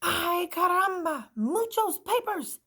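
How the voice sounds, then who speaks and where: A shouting thumbtack. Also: female voice, very high voice, expressive, slow pace, a little hiss or hum, voice pushed up, heavily acted. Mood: excited. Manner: shouting, exuberant.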